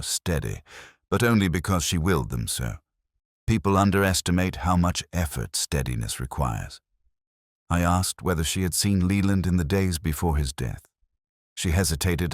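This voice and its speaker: A man narrating a scripted first-person detective story in a measured, solemn, literary manner. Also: extremely low pitch, no room sound, studio quality.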